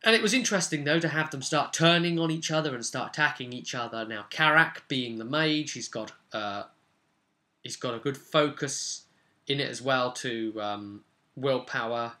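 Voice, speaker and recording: Male game master, medium-pitched and very bright, animated and informal, retelling a tabletop session off the cuff. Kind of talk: casual unscripted recap narration.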